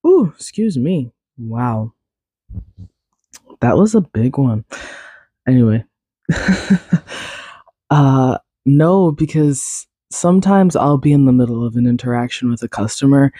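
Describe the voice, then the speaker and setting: A young woman rambles through a casual solo podcast, laughing at herself and tossing in plenty of fillers. Her voice is raspy and stuffy from a lingering cold, with a mellow, darker tone. She sounds close to the mic in a dry, dead room. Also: a bass voice, no room sound. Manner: rambling, self-deprecating, many fillers and laughs. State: congested, recovering from a cold. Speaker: podcast host.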